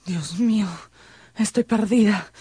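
A woman's voice: mid-range voice, slow pace, dark tone, husky. An actress playing an intensely emotional woman in peril in a radio drama. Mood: frightened.

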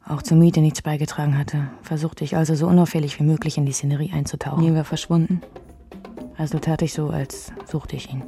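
An actress playing a woman recalling her bohemian youth in an intimate radio memoir, breathy and raspy, at times close to a whisper. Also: low voice, mellow.

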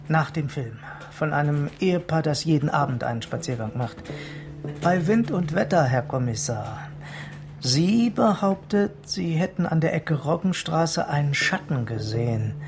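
A pedantic amateur sleuth with a creaky, gravelly voice, chatty and unruffled. He gives matter-of-fact reports in a radio crime play, with faint music underneath. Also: fairly high pitch. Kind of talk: German radio crime drama acting.

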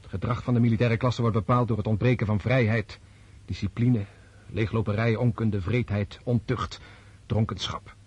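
An actor in a Dutch radio play voicing a disillusioned, brooding military officer, intense and bitter, with halting, reflective pauses. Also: male voice, lowish voice, fairly even intonation, a little mellow, husky, dull audio. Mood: bitter, impassioned. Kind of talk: radio drama acting.

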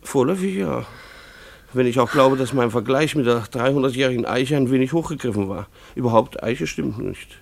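An actor playing a harried schoolteacher and father in a German radio play, earnest and wry, with formal, deliberate phrasing. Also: medium pitch, expressive, gravelly. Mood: wry, apologetic.

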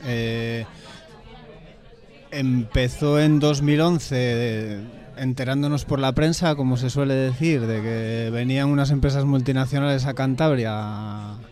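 A man with an accent from Spain answering informally in a radio interview as a member of a bookshop collective, halting and repeating words as he explains. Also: mid-range voice, a little mellow.

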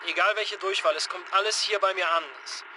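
Actor as frantic husband, high-pitched voice over noisy line. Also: male voice, steady tone, close to an everyday voice. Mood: anxious pleading. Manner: urgent, halting, pleading. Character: frantic, worried husband and father in a disaster. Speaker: radio worker informing the public. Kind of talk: modern radio drama acting. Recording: noisy radio or phone line, slightly dull.

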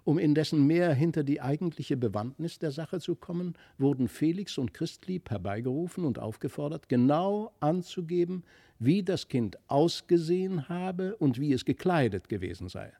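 Creaky-voiced man reading a fairy tale. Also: on the high side, lively intonation, moderate pace, warm tone, no echo, studio quality. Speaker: audiobook narrator. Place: dry studio.

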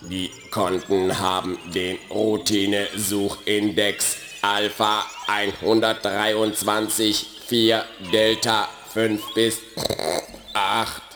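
A pedantic computer virus from a children's radio drama, low and unhurried. Its speech glitches, stutters and repeats syllables, with an echo around it. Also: male voice, completely flat delivery, a bit bright, faint music behind. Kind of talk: German children's radio play.

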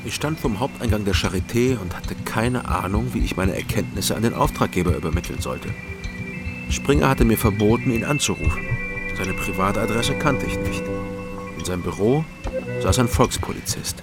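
Crime radio play lead: guarded male private investigator, deepish, understated, loud music behind. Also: expressive, moderate pace. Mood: detached, reflective. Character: dry, guarded East German male private investigator narrating. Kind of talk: radio drama first-person narration and dialogue.

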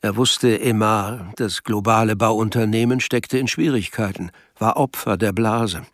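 A man's voice: fairly low pitch, unhurried, dry recording, studio quality. A man reading scripted literary narration as the narrator of a radio drama.

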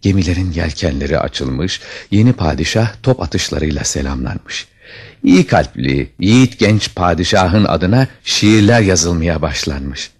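A man's voice: low-pitched, lively intonation, a little slow, breathy edge. A man narrating a scripted fairy tale as a storyteller, with dramatic expression.

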